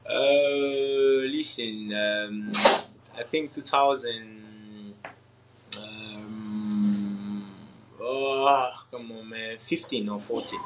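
A young man from Congo with French or Swahili as his first language, answering interview questions in a halting way with many pauses and laughs. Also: fairly low pitch, steady tone, very noisy. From Democratic Republic of the Congo. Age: young adult. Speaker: MMA fighter guest.

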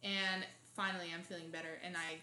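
A woman's voice: fairly low pitch, little pitch movement, a little fast, pushed and bright, roomy sound, faint music behind. A young woman, co-hosting a casual podcast and telling dramatic stories with lots of laughter. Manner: chatty, dramatic storytelling with frequent laughs. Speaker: podcast co-host. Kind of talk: casual chat.

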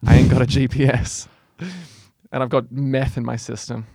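A young man in his twenties chatting on a homemade radio show, rambling and poking fun at himself. His voice sits at an ordinary middle pitch for a man. The studio sound is close and dry. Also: moderate pace, creaky, a little mellow, breathy edge, no room sound. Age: 20s. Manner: rambling, self-mocking, laughs often. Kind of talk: casual chat. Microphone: studio mic, moves back and forth from it.

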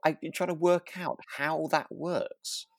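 A man's voice: a little high, steady tone, a little slow, creaky, no echo, studio quality. A man co-hosting a casual review podcast, agreeable and a little hesitant, often chuckling as he talks. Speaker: co-host of a radio drama review podcast. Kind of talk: casual chat.

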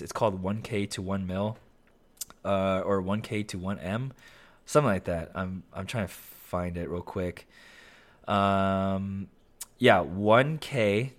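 A young man hosting a solo podcast, talking casually with lots of fillers and self-corrections, his voice slightly raspy. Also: fairly low pitch. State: slightly raspy voice with occasional coughing. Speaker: podcast host.

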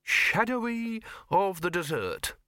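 Man as an uneasy, high-pitched poster artist. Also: very animated, takes it slowly, pushed and bright, dry recording, clean studio sound, exaggerated voice. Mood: puzzled. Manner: polite, puzzled.

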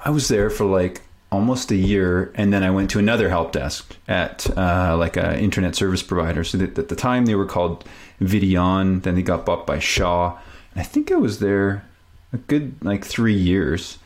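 A man's voice: low voice, normal speed. A man in his forties from Canada, a native French speaker, talking casually and reflectively as a guest in an interview. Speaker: podcast guest.

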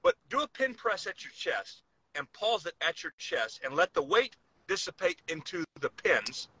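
A man with an intensely bright, forceful voice, sitting slightly high in pitch, co-hosts a casual podcast. He is blunt and insistent as he hands out training advice. He jumps in readily and talks loosely, swearing now and then. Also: big pitch swings, normal speed, breathy edge, no room sound, poor audio.

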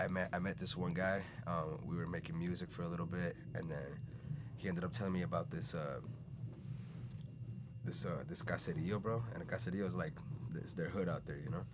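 Young male podcast guest with a very deep voice, loose and chatty, over steady room noise. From Venezuela, raised in Chicago. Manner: laid-back, rambling, says "bro" and "you know" a lot. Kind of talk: casual conversational storytelling.

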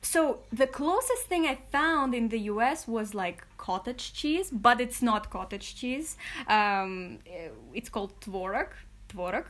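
Young woman, higher voice. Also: lively intonation, measured pace, fairly bright tone. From Kazakhstan. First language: Kazakh and Russian. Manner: chatty, laughs often, many fillers and restarts. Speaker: interview guest. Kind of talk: casual interview answers.

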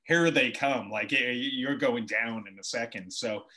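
An American man in his forties, an interview guest, telling personal stories in a casual, animated way and acting out bits of dialogue. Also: mid-range voice, moderate pace. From Boston, United States. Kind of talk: casual conversational storytelling.

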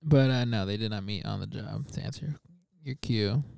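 Rambling young man, mellow smooth voice. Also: fairly low pitch, normal speed, dry recording, clean studio sound. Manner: rambling, laughs a lot, many fillers and restarts. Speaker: podcast co-host, stand-up comic. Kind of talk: casual chat.

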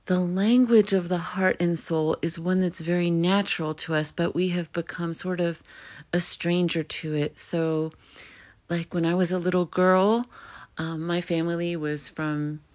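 Middle-aged woman, podcast host, solo reflective talk, slightly low voice, gets animated. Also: unhurried. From United States.

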